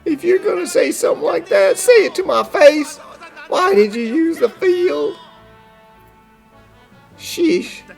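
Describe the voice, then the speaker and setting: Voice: very high voice, animated, slow, vocal fry, pauses often, a little mellow, faint music behind, full character voice. An actor playing a timid, whiny, emotional young male farmer in a cartoon fan dub, plaintive and hesitant. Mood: tearful, relieved.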